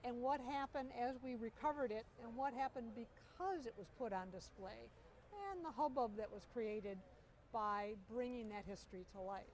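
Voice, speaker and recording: A middle-aged woman telling stories with animated enthusiasm as a guest in an interview, recorded at an outdoor air show. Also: fairly high pitch, moderate pace, a little hiss or hum, low-quality recording. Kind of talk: storytelling interview.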